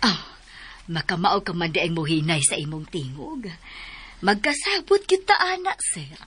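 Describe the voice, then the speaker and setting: A woman with a Cebuano Filipino accent acting a calm, hospitable widowed mother in a radio drama, polite and placating. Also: fairly low pitch, moderate pace, a little hiss or hum. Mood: warm, teasing.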